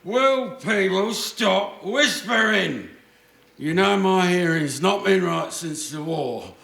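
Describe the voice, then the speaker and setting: A man's voice: high voice, animated, takes it slowly, vocal fry, fairly bright tone, exaggerated voice. An actor playing a bossy, hearty, hard-of-hearing old grandfather in a live radio play, blustering and querulous. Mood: grumpy, bossy. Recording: roomy live stage recording.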